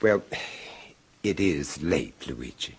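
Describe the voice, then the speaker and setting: A deep-voiced apologetic dinner guest. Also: male voice, expressive, husky, exaggerated voice. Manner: measured storytelling narration, hesitant and apologetic in dialogue. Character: Vincenzo, polite, squeamish male dinner guest, narrator. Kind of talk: 1970s radio drama acting and narration. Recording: old radio broadcast.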